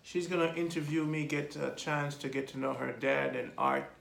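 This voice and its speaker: A man in his forties, an artist telling his life story in a relaxed, rambling way during an interview. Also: medium pitch, normal speed, gravelly, a little hiss or hum. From Jamaica. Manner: rambling lists, relaxed and reflective. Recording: echoey room.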